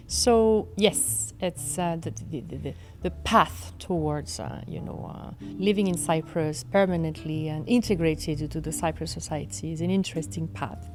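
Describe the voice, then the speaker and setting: A woman in her forties with a French accent, a guest in an interview, speaking in a measured way with many fillers and restarts. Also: low-pitched, a little mellow. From France. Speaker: law professor, guest. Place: studio set with armchairs. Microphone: desk mic on boom arm.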